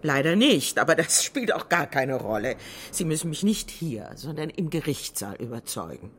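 A man's voice: a little high, big pitch swings, normal speed, noisy background. An actor playing an impatient, sceptical male boss in a radio drama, brusque and dismissive.

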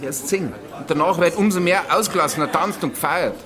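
An actor with a Bavarian accent playing a stubborn, sarcastic bridegroom arguing in a dialect radio play. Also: male voice, on the high side, brisk, a crowd in the background, lightly acted. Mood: stubborn, insistent.

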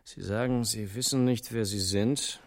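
A man's voice: lowish voice, fairly even intonation, measured pace. A man playing a brash, suspicious small-time crook in a dubbed film drama, blunt, sardonic and probing.

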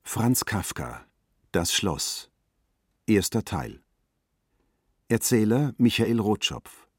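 A man's voice: on the low side, very animated, takes it slowly, husky, no room sound, studio quality. A man making a scripted announcement, reading credits as an announcer.

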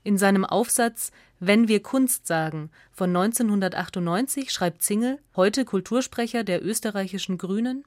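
A woman reading a scripted radio essay as narrator, in a measured, factual and articulate way. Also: medium pitch, darkish tone, dry recording, studio quality.

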